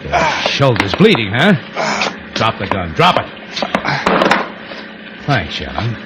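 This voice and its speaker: An actor playing a smooth, threatening American gangster who taunts his captives, in old radio adventure style. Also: fairly low pitch, wildly swooping pitch, slow pace, over loud music, full character voice. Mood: mocking, menacing. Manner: mocking, threatening. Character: menacing, smooth-talking tough gangster with a gun. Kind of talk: 1940s-50s radio adventure acting. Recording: noisy old radio recording.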